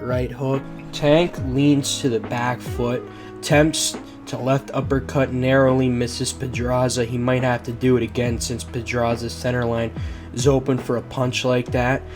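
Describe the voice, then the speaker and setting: A man with a bad cold, sounding congested, casually narrating a boxing fight breakdown as host of his channel, with slangy asides and loose restarts. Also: mid-range voice. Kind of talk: voice-over fight breakdown commentary.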